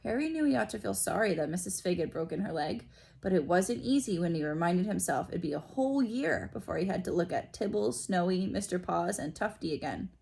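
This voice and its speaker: A woman's voice: on the low side, expressive, fairly quick, vocal fry, darkish tone. A woman reading a children's story aloud expressively, like a school principal, with explanatory asides.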